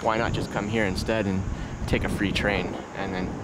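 A man with a fairly low voice narrates an informal outdoor tour. He talks haltingly and without fuss, thinking aloud as he goes. Loud wind and traffic noise surround him. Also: little pitch movement. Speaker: vlogger. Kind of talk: casual unscripted tour narration. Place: outdoors on an open parking structure. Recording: windy outdoor audio, loud vehicle noise. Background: wind, vehicles, urban noise.

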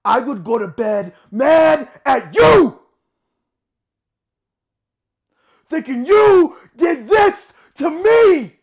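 A man performing a dramatic monologue as a tormented young man, intense and halting, moving between hushed and anguished. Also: on the high side, big pitch swings, pitched below the performer's own voice. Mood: anguished, frantic. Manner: intense, halting, bursting from quiet murmurs into anguished shouting. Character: tormented young man haunted by shaving, swinging from hushed to frantic.

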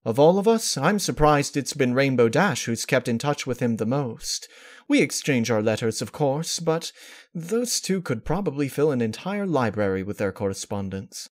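A man's voice: mid-range voice, sing-song, normal speed, voice pushed up. A man voicing a refined female cartoon unicorn dressmaker, prim, polite and dramatic in manner. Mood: gentle, chatty.

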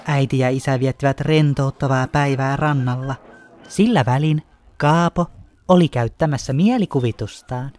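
A man's voice: mid-range voice, darkish tone, a little hiss or hum. A man narrating a cartoon story in a scripted storybook style.

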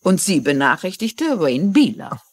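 A shrewd middle-aged woman mystery writer in a TV crime drama. Her voice sits fairly low and moves with lively intonation. She is courteous and articulate, even when accusing. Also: unhurried, breathy edge, no echo, full character voice. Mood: firm, accusing. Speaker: actress in a German dub. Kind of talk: dubbed TV mystery dialogue.

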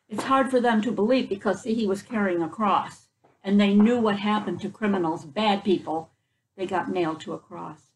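An older woman reads a children's picture book aloud on a front porch. Her voice sits a little high and has a noticeable creak. She pauses to explain things simply, with faint outdoor noise in the recording. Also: low-quality recording. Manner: explanatory asides in simple words. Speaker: children's story reader. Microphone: camera a few feet away.

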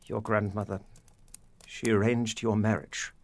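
An actor playing an anguished, guilt-ridden, stern father in a period audio drama, speaking gravely and deliberately. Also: on the low side, level tone. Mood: stern, insistent.

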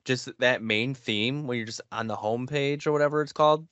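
A man in his twenties co-hosting a podcast, chatting casually in halting phrases. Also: medium pitch, a bit bright, clean tone, no echo. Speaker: podcast co-host. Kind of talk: casual chat. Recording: dull, lo-fi voice call sound.

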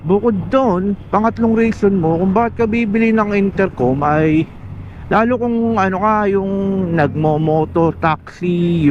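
A Filipino man with a fairly high-set voice talks in a casual, conversational explainer style, addressing the viewer directly. The sound is a bit muffled and lo-fi, with music faintly playing underneath. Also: a little mellow. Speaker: motovlogger.

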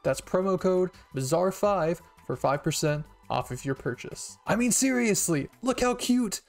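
A man's voice: high voice, lively intonation, unhurried, gravelly. A man delivering an upbeat sponsor promotion as a channel host. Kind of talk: upbeat ad read.

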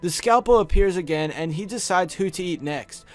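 A man with a noticeably high voice narrates scripted horror stories as a video host. He speaks close to the microphone. Faint music plays behind him. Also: lively intonation, normal speed. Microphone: close studio USB microphone.

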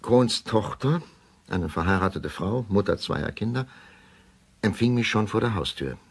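An actor playing a middle-aged doctor who gives a measured, formal account in a radio play. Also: male voice, lowish voice, animated, gravelly, breathy edge, full character voice. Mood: sober, factual. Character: weary, measured middle-aged male doctor writing his confession. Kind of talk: German radio play monologue, formal report.

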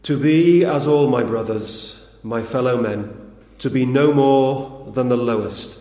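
An actor in a radio play as a humbled young initiate, earnest and submissive, in an echoing hall. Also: male voice, mid-range voice, slow pace, warm tone, natural voice. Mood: humbly earnest.

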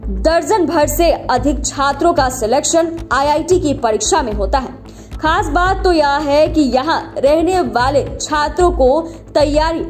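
High-pitched woman narrating a news feature. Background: background music.

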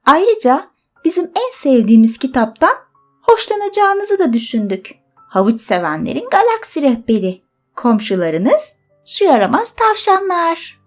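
A woman's voice: sits high, sing-song, unhurried, soft background music, pitched above the performer's own voice. A woman voicing a polite, cheery little rabbit neighbour in a children's story, with sing-song requests. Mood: kindly.

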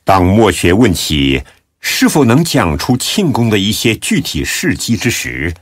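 Deep, husky, slow male narrator. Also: no echo, clean studio sound. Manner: measured, expressive recitation. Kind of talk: solemn scripted narration of a Buddhist audiobook drama.

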